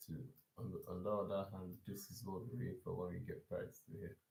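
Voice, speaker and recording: A man's voice: low voice, flat delivery, fairly quick, creaky, a little mellow. A man live-streaming a forex chart breakdown and talking loosely to his chat, with restarts and repeats. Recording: echoey room.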